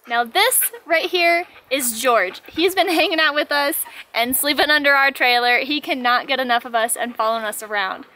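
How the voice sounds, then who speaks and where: High-voiced, gushing woman vlogger. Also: normal speed, few pauses, bright, forceful tone. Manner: enthusiastic, gushing. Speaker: RV travel vlogger. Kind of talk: casual vlog narration. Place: outdoors on a ranch.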